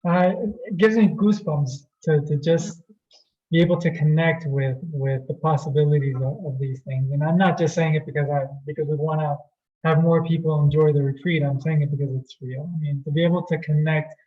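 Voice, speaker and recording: A man's voice: a little high, fairly even intonation, brisk. A man from Colombia co-hosting a webinar, talking enthusiastically and repeating words as he searches for them, over a video call. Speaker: retreat co-host. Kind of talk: presenting a webinar. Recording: dull lo-fi call audio.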